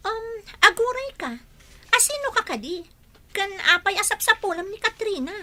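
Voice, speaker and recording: A woman's voice: very high-pitched, very animated, moderate pace, sharp tone, a little hiss or hum, exaggerated voice. A woman playing a sly, chatty young woman in an audio drama, coy and gossipy in manner. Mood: curious, coy. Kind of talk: melodramatic audio drama acting.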